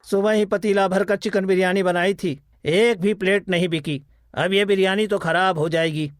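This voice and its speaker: A man's voice: high voice, fairly even intonation, normal speed, no room sound, natural voice. A man voicing a greedy, scheming village biryani seller in a cartoon moral story, with an exaggerated folksy delivery. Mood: frustrated. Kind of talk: Hindi animated moral story dialogue.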